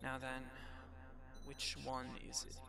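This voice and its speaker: A man's voice: mid-range voice, monotone, slow, crowd noise. A man voicing a smug, theatrical trickster god in a fan audio roleplay, with pompous, formal, taunting speech. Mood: amused, scheming.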